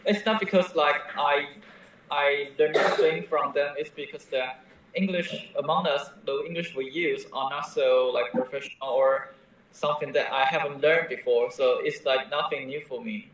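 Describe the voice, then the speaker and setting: A man's voice: a little high, steady tone, a bit bright. Echoey distant young man, hesitant. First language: Mandarin Chinese. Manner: hesitant, pauses with fillers. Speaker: interviewee, language teacher. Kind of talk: answering interview questions. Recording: very echoey room, mic far off, faint noise.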